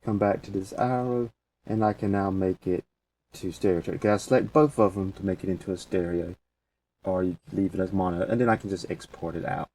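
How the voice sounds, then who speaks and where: A man presenting a casual software tutorial, talking through each step as he goes in informal, folksy phrasing. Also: sits low, fairly even intonation, fairly quick. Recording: distant mic with faint background noise.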